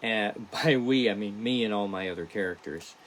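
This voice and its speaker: A man's voice: fairly low pitch, moderate pace, a bit bright, slight background noise. A man talking casually as a podcast host, in a rambling, folksy way, giving a behind-the-scenes chat.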